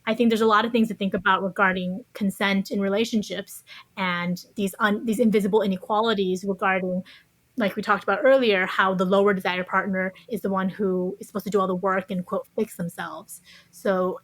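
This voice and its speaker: A woman's voice: medium pitch, a bit bright, no room sound, poor audio. Thoughtful woman guest, dry close sound. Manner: reflective, explanatory, often says "you know". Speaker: podcast guest, author. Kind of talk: thoughtful interview conversation.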